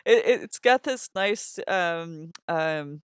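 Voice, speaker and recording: A woman's voice: lowish voice, expressive, slow, clean tone, dry recording, clean studio sound. A woman co-hosting a podcast, talking through scripture in a casual, conversational and explanatory way. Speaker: podcast co-host. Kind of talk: casual Bible discussion.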